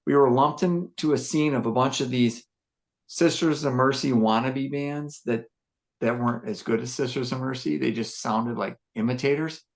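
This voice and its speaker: A man with a gruff voice, a musician chatting casually on a podcast, reflective and rambling with many fillers and restarts. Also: mid-range voice, normal speed. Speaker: musician discussing his band.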